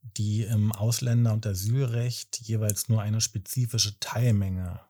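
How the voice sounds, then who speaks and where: A man in his thirties from Berlin, co-hosting a podcast and explaining things in a measured, slightly formal way. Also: fairly low pitch, steady tone, dark tone. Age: 30s. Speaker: podcast co-host. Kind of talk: casual podcast talk.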